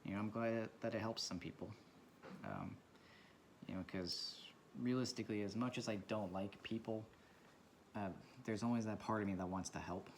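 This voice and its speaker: Low-voiced man, quiet rambling talk. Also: fairly even intonation, normal speed, slight background noise. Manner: speaks quietly, rambling and reflective. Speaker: vlogger. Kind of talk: casual talk while drawing. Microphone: camera mic from a propped camera.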